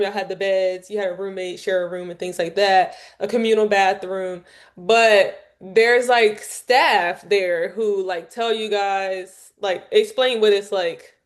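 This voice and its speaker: Female interviewer, average pitch, informal and chatty, working out questions as she speaks. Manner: informal, thinks aloud while framing questions. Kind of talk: casual conversational interviewing.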